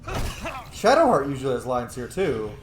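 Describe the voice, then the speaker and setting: Echoey, animated man doing game commentary. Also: mid-range voice, unhurried, crowd noise. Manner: joking, reacting out loud, swears freely. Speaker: gamer, streamer. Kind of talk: casual gameplay commentary. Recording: roomy echo, faint game noise.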